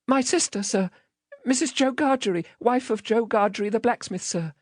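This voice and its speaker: A man's voice: very high voice, moderate pace, dry recording, studio quality, voice pushed up. A man voicing a small, terrified, polite village boy in a storybook, timid and pleading.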